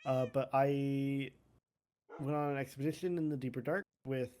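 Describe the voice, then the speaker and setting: A player acts out a calm adventurer at a fantasy game court. The voice is dark and mellow. Explanations come out measured and a little hesitant, in an improvised roleplay style. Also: mid-range voice, lightly acted. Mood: thoughtful, hesitant.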